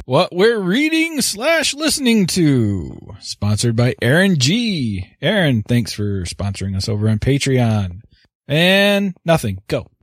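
A man's voice: medium pitch, sing-song, slow pace, faint music behind. A man hosting a podcast, introducing segments and thanking sponsors in a laid-back, offhand way. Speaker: podcast host.

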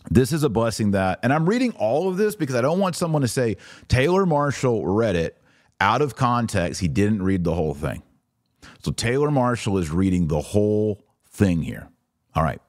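A man's voice: fairly low pitch, a little mellow, no room sound, clean studio sound. A man hosting a podcast of religious commentary, reading aloud and reacting in an emphatic, informal, argumentative way.

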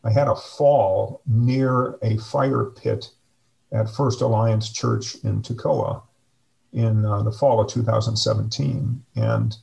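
A middle-aged man with a deep, heavily gravelly voice talks in slow, measured phrases. He pauses often with fillers as he reflects, heard through a video call microphone. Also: a little mellow, poor audio. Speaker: interview guest, district superintendent. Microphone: video call webcam.

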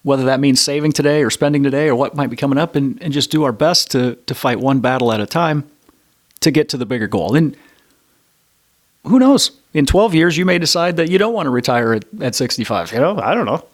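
A man in a mid-range voice speaking as a financial advisor guest on a podcast. He is blunt and plain-spoken, often restarting his phrases, and slows down to drive important points home. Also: mid-range voice, lively intonation. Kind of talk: conversational interview answers.

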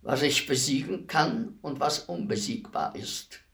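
Radio drama executioner: solemn, reflective, polite, very slow delivery with a creaky, gravelly edge. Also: male voice, medium pitch, lively intonation, fairly bright tone. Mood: calm, earnest. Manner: formal, measured, deliberate delivery. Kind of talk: German radio play acting.

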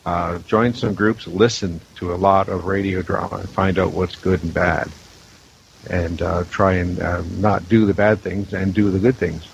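A man's voice: deep voice, fairly even intonation, normal speed, vocal fry. A middle-aged man chatting casually as a roundtable guest, on dull lo-fi audio with some noise. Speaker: audio producer, guest panelist.

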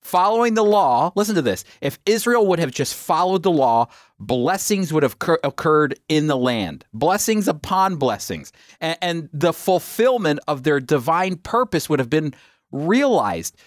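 A man gives a Bible teaching for radio in a fairly high voice. He talks emphatically, pausing for rhetorical questions. The sound is dry and close, with no room echo. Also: big pitch swings.

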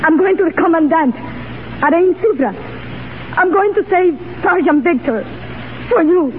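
A very high-voiced young woman, fierce and jealous, declaiming her defiance. She is a hardened desert camp-follower in an old radio play. The sound is thin and scratchy, with clear hiss. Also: animated, normal speed. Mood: wounded, resolute. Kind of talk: 1930s radio drama acting. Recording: scratchy, narrow-band 1930s radio recording.

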